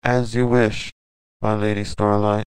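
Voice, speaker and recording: A man's voice: lowish voice, level tone, measured pace, clear, resonant tone, no room sound, lightly acted, poor audio. A man playing a smug, possessive, devoted spirit in an audio drama, with a haughty, theatrical manner. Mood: smug, obliging.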